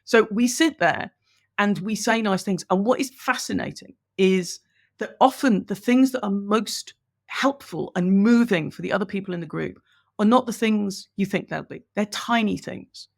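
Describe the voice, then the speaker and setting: A woman's voice: medium pitch, moderate pace, gravelly. A woman from Ireland with an English accent, chatting enthusiastically as a podcast guest and laughing as she explains. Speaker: guest, business coach. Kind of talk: conversational podcast interview.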